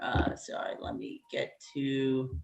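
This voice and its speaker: A woman running an online meeting over a video call, speaking slowly. She talks in a chatty, halting way, full of ums and restarts. Her voice has a roomy echo. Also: a bass voice, completely flat delivery, darkish tone, lo-fi sound. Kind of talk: casual video-call facilitation.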